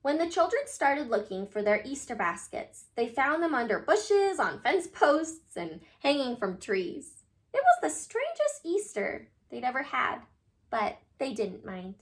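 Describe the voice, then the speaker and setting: A woman storyteller reads a children's book aloud. Her voice sits a bit high and moves up and down a great deal, giving the narration an expressive shape. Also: a little slow.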